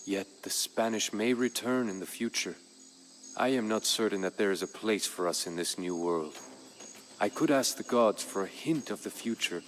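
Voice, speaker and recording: A proud Aztec emperor with a deep, low-set voice. He recounts his people's war like a formal chronicle, somber and measured, every phrase grave. Music plays behind his video game narration. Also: a little hiss or hum. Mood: mournful, weary. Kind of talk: solemn scripted narration in character.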